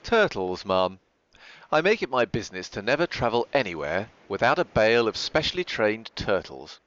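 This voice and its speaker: A man playing a dignified, deferential butler with a formal, deadpan manner in a comic audio drama. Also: on the low side, normal speed. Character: dignified, deferential male butler, easily flustered. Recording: dull lo-fi podcast recording.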